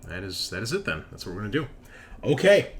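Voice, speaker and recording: A man narrating casually as game master of a tabletop game, haltingly, as if thinking aloud. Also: lowish voice, sing-song.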